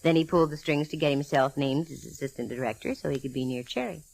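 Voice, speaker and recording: An actress playing a sharp, breezy female private eye in 1940s radio style, brisk and teasing. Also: low-pitched, creaky, low-quality recording. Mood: brisk, knowing. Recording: vintage 1940s radio recording.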